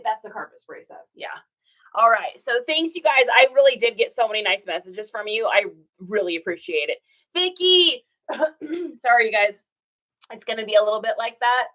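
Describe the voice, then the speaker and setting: A woman's voice: on the high side, bright, forceful tone. A woman, hosting a casual livestream and greeting viewers by name, her voice still scratchy after a cold. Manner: chatty, greets viewers by name, laughs often. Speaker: reseller livestream host.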